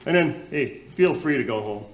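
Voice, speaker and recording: A man's voice: mid-range voice, lively intonation, moderate pace, natural voice, low-quality recording. A man playing a flustered radio show host in a comic radio play, folksy and hesitant as he glosses over trouble. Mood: weary, casual.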